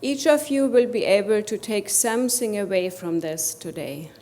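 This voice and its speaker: A woman with a German accent speaks from the podium at a conference, coaching her listeners on mindset. She keeps turning to the room with rhetorical questions, her pitch sitting in an ordinary middle range. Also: moderate pace, mellow. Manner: addresses audience with rhetorical questions. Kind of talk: motivational conference presentation.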